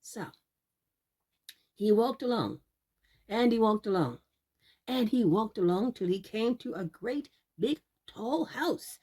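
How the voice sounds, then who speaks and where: A woman storyteller reading for children at a slow pace. Her pitch swoops widely, with deliberate, drawn-out emphasis on key words. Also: big pitch swings, darkish tone, slightly rough. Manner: deliberate, drawn-out emphasis, addressing young listeners. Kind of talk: expressive read-aloud fairy tale narration.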